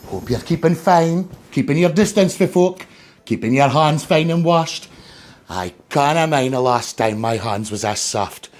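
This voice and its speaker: A man's voice: on the high side, lively intonation, unhurried. A man playing a chatty, cheeky middle-aged pantomime dame, gossiping in an exaggerated way with a North-East Scottish Doric accent. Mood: cheery, gossipy. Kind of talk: comic pantomime monologue to the audience.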